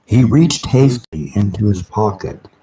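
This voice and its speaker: A man reading a novel aloud live as dramatic narration, with deliberate, halting phrasing. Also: on the low side, animated, dark tone. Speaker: reader of an audiobook. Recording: noisy amateur live recording with background ambience. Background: background ambient sounds.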